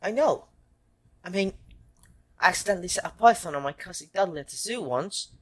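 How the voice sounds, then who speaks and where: A woman in an audio drama playing a plucky, impulsive schoolboy wizard of about twelve, blunt and excitable. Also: fairly low pitch, fairly quick. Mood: indignant.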